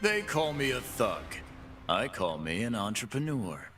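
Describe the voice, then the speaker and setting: An actor voicing a cocky, brawling male fight-pit boss in game voice lines, full of gruff, boastful trash talk, with game music behind. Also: mid-range voice, sing-song, unhurried, a bit bright.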